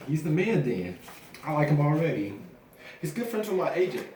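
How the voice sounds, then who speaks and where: A man acts out a flirty, laid-back rising actor in a film script reading. His fairly high voice moves around a lot and comes from a distance in an echoey room. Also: very animated, normal speed, a little mellow. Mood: flirty, relaxed. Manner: casual, laid-back banter. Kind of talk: cold table read of a film script. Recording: echoey room, far from mic, faint noise.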